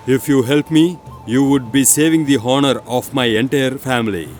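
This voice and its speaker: A man's voice: mid-range voice, normal speed, darkish tone, a little hiss or hum, lightly acted. An actor playing a scheming, persuasive father in a moral story, speaking in a formal, insistent way. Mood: dismissive, persuasive. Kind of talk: animated storybook acting.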